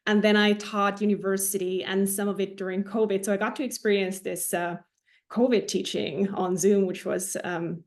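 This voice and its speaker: Enthusiastic Finnish woman guest. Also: mid-range voice. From Finland. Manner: enthusiastic, warm, with restarts. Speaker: podcast guest, learning designer. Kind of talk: casual interview talk.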